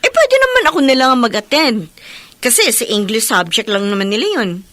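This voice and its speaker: Playful younger sister, Filipino accent, affectionate and teasing, high and very animated, radio drama. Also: female voice, normal speed, no echo. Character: playful, admiring younger sister and doting aunt. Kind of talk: Tagalog radio drama acting.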